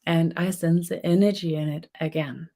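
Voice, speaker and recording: Softly spoken Danish woman teaching. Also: lowish voice, fairly even intonation. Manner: soft, unhurried, searching for words with restarts. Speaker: spiritual teacher. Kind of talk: webinar teaching.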